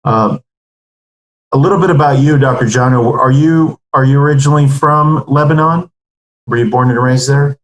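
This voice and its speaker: A man hosts a webinar and puts questions to a guest, his voice middling in pitch. He fills his speech with ums and uhs. The sound has an echoey room around it. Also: normal speed. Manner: many ums and uhs, loose phrasing. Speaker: webinar host. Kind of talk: moderating a webinar. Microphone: video call.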